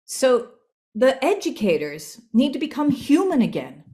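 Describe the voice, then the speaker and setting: A woman's voice: high-pitched, very animated, unhurried, warm tone. A woman speaking earnestly as a panel speaker in a group discussion session.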